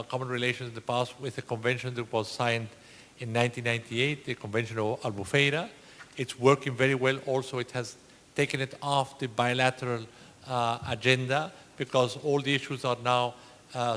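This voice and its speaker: A man's voice: mid-range voice, a bit bright. Man in a reverberant hall. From Spain. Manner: measured, hesitant with fillers. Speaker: ambassador asking a question from the floor. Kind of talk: formal conference question. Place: conference hall.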